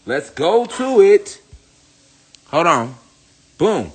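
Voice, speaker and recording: Young male spiritual commentator, slightly high voice, loose profane preachy rant. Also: very animated, very slow. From United States. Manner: profane, preachy, emphatic repetition. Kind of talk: casual rant to camera.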